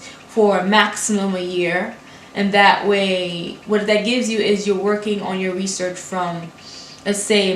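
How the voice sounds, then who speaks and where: A young woman in her twenties from Brooklyn, speaking English as a second language, talking casually to camera in fast, run-on sentences with restarts. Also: mid-range voice. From Brooklyn, New York. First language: not English. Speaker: graduate student vlogger. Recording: echoey room with faint hiss.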